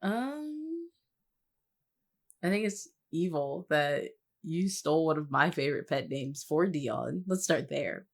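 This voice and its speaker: A woman's voice: sits low, animated, takes it slowly, vocal fry. A woman chatting casually as a podcast co-host, with a dry, teasing manner. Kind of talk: casual chat.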